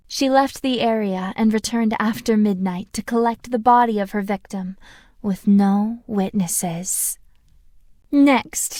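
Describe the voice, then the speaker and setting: A woman voicing a young female video game narrator who calmly recounts a killer's schemes in a measured, scripted way. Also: medium pitch, no echo, studio quality, natural voice. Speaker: voice actress for a game character.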